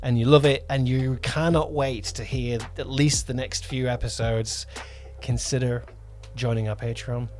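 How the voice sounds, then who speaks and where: A man with an average-pitched voice chats as a podcast host. He is emphatic and often repeats words to stress a point. Faint music plays behind him. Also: steady tone, unhurried, hardly any pauses, a little mellow. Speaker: podcast host, audio drama producer and director. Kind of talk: casual podcast chat.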